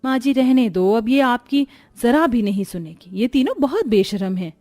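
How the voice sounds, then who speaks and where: A woman's voice: a little high, expressive, moderate pace, warm tone. A woman voicing a meek, dutiful young daughter-in-law in a cartoon, speaking politely.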